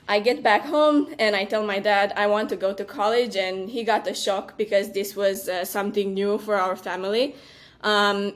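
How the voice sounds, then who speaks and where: Romanian-born woman guest, measured and earnest, lots of ums, mid pitch. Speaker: venture capital partner, guest. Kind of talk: podcast interview answers.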